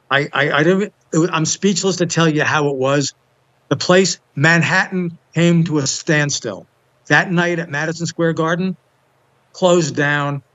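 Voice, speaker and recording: A man's voice: fairly high pitch, fairly bright tone, muffled recording. A man in his seventies from Long Island with a New York accent, telling stories as a podcast guest, emphatic and repeating himself. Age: 70s. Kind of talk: casual interview storytelling.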